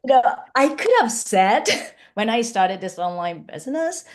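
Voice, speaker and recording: A woman's voice: mid-range voice, a little slow. A woman from Japan in her forties with a Japanese accent, co-hosting a live webinar and chatting with an easy laugh. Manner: warm, laughing, animated. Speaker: face yoga founder and co-host.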